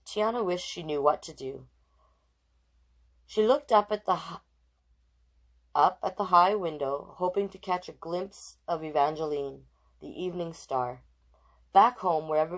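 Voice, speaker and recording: A woman's voice: low-pitched, measured pace. A woman hosting a storytime, reading a children's picture book expressively with chatty asides to her viewers. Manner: expressive, chatty, addresses live viewers between passages. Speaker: storytime host and drag king reader.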